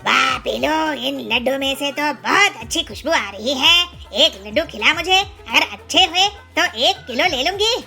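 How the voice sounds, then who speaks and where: A woman's voice: sits high, lively intonation, normal speed, hardly any pauses, sharp tone, faint music behind, full character voice. A woman voicing a chatty, friendly female bird customer in a children's cartoon story. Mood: curious, friendly.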